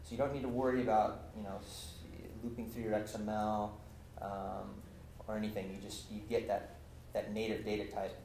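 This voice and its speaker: A man's voice: fairly low pitch, little pitch movement, normal speed, hardly any pauses, a little mellow. A young man giving a casual technical talk at a meetup, explaining with many ums and restarts, recorded by a camera across a lecture room. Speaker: presenter. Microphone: far camera. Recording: echoey room, faint hiss, from camera.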